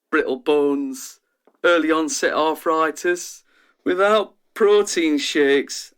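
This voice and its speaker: A man's voice: a little high, a bit bright, smooth. An actor playing a troubled, self-deprecating young male patient in a radio drama, talking in a downbeat, matter-of-fact, colloquial way. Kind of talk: radio drama monologue, interview-like.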